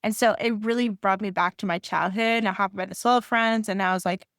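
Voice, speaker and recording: A woman's voice: medium pitch, steady tone, fairly quick, fairly bright tone, dry recording, studio quality. A middle-aged woman from Puerto Rico hosting a book podcast, chatting with enthusiasm and rambling a little. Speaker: podcast host. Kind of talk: casual interview chat.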